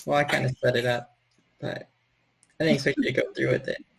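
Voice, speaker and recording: A man with a gravelly, husky voice acts as game master on an online call. He talks casually and slips into improvised scene narration. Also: mid-range voice, wildly swooping pitch, fairly quick. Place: online group video call.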